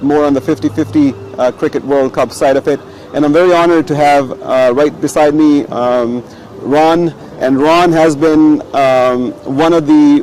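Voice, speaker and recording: Male host from Pakistan, slightly higher voice, halting and filler-heavy, light street noise. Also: darkish tone, poor audio. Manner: hesitant, many fillers and restarts. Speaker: sports show host. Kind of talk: casual interview chat.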